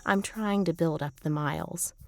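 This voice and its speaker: A woman's voice: lowish voice, moderate pace, vocal fry, a little mellow, faint music behind. A woman voicing a self-possessed teenage girl thief in a novel, flippant, cool and defiant. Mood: breezy.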